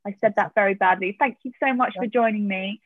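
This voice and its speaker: A woman's voice: mid-range voice, fairly quick, dry recording. A woman hosting a podcast interview, encouraging and chatty, with hesitant fillers. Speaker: podcast host. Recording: tinny phone-like line, dry.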